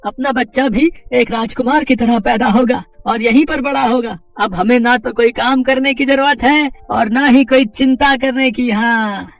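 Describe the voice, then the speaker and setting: A man's voice: very high-pitched, fairly even intonation, moderate pace, gravelly, hardly any pauses, no echo, distant mic. A man voicing a poor, simple village husband, an eager and stubborn dreamer who stammers and complains, in a moral story. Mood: excited, content.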